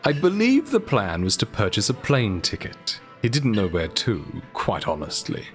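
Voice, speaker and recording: Suave, teasing man with swooping pitch. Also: wildly swooping pitch, normal speed, faint music behind. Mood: cool, candid. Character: suave, teasing, self-assured man being interviewed. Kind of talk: audio drama acting.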